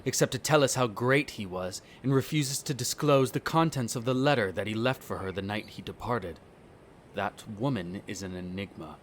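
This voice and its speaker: A man acting a haughty, bitter centuries-old vampire noble in an audio roleplay, speaking in a formal, measured, condescending way. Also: lowish voice, a little hiss or hum, close to an everyday voice. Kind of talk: scripted roleplay audio drama monologue.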